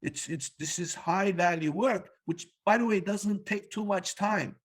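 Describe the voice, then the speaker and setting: A man's voice: sits high, a little slow. A man born in Turkey hosting a business interview podcast, talking emphatically and repeating words for stress. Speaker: podcast host.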